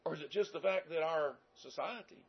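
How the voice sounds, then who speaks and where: A man's voice: fairly high pitch, a little fast, breathy edge. A man with a Southern American accent preaching a sermon in a folksy, conversational way with emphatic rises. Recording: dull lo-fi sound.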